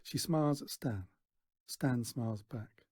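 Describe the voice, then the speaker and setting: A man reading a children's story aloud in an expressive narrating style. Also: medium pitch, measured pace, husky, no echo, clean studio sound. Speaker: storybook reader.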